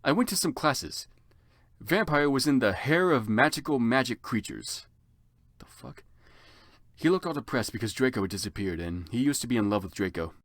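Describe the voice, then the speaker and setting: A theatrical narrator reads a story aloud in a mock-serious style, with a very lively, swooping pitch. The voice sits in a middle register and comes through close and dry, dropping into comic asides. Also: normal speed, no echo. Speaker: narrator of a fan fiction reading. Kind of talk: dramatic comedic reading aloud with asides.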